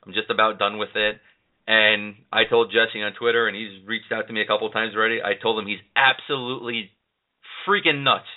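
Guy hosting a show, low voice, rambles with lots of um and you know, sounds like a phone line. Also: steady tone, a little fast. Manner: rambling, filler-heavy. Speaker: podcast host. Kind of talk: casual chat.

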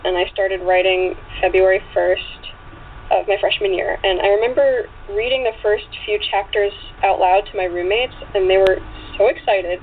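A woman guest chatting casually in an interview, talking in a chatty way peppered with "like" and "you know". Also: medium pitch. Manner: chatty, frequent 'like' and 'you know'. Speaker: guest author and actress. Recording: tinny phone line with clear background noise.

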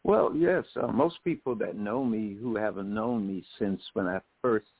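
A man's voice: lowish voice, normal speed, dry recording. A man in his seventies from Jamaica, a singer, telling anecdotes as a guest in a radio interview. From Jamaica, later the United States. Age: 70s. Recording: phone-like, thin radio call.

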